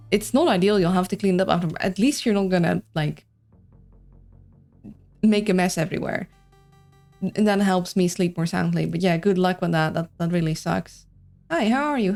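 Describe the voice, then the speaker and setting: A woman with a Dutch accent chats easily with her stream while she plays, answering viewers and talking through her moves. Her voice sits low and carries a steady gravelly fry. Soft game music plays faintly underneath. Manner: chatty, reads and answers chat, thinks aloud. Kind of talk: casual livestream chat while playing.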